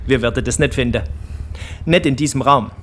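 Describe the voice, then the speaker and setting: An actor playing an analytical forensic expert in a naturalistic radio drama, speaking in a deliberate, matter-of-fact way. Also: male voice, mid-range voice, fairly bright tone, a little hiss or hum, lightly acted.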